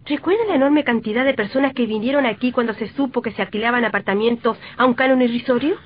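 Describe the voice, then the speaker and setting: A woman's voice: on the high side, quick pace, a little hiss or hum, close to an everyday voice. A woman playing an anxious, suspicious, insistent wife in a vintage radio drama. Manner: fretful, insistent. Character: suspicious, anxious, nagging wife convinced of danger. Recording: dull lo-fi old radio recording.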